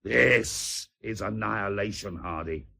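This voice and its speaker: An actor playing a fiery, vain British admiral with an emphatic, explosive manner, in a radio drama. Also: male voice, fairly low pitch, unhurried, vocal fry, sharp tone, slightly rough, heavily acted. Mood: intense, proud.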